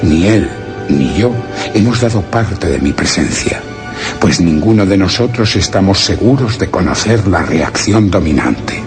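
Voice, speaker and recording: A man's voice: deep voice, expressive. An actor playing a brooding exiled man who reads his written account in a solemn, literary Castilian Spanish radio theatre monologue. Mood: wistful, reflective. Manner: solemn, literary, measured delivery. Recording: voice under loud dramatic music.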